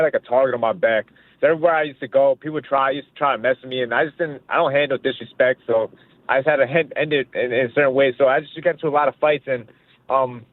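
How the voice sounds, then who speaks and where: A young man from Pakistan talks quickly and casually over a narrow, phone-like line. He sounds dry and close, and he often circles back and repeats his points with easy confidence. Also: medium pitch, no echo. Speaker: boxer guest. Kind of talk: phone interview.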